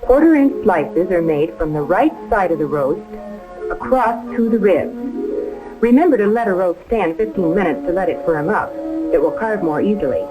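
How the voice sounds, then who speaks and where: A woman's voice: on the low side, expressive, normal speed, low-quality recording. A woman giving precise, step-by-step scripted instruction as a home economist in a 1950s training film. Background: music.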